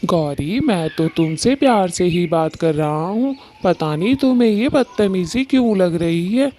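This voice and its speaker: Woman doing a mild husband bird in a cartoon, soft dark tone, tries to calm everyone, slight noise. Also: on the low side, a little slow. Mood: placating. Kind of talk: Hindi cartoon story dialogue.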